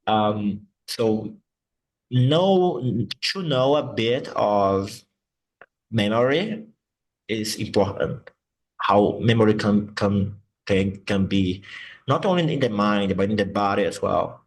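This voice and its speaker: A man whose first language is Portuguese, teaching English and slowly repeating words to model their sounds. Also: on the low side, lively intonation, poor audio. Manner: slow, patient, repeats words to model pronunciation. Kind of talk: online English lesson.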